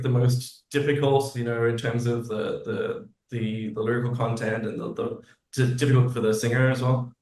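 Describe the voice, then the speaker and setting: A man from Australia talks in a roomy, echoing space with a medium-pitched voice. He is casual and reflective as a guest, often hesitating as he searches for the right words. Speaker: composer and lyricist, interview guest. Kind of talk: casual reflective interview talk. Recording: roomy echo on the voice.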